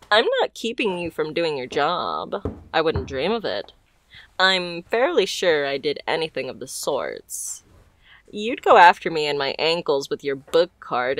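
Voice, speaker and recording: Woman doing a flirty mafia boss lady for asmr roleplay, low voice, takes her time, teasing and smooth. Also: a bit bright, no room sound, heavily acted. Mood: playful. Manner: teasing, smooth-talking, unhurried.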